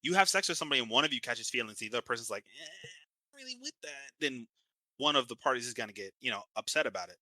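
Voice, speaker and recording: A man's voice: medium pitch, big pitch swings, fast, sharp tone, dry recording. A young man co-hosting a podcast in casual chat, blunt and argumentative, swearing a lot. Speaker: podcast co-host.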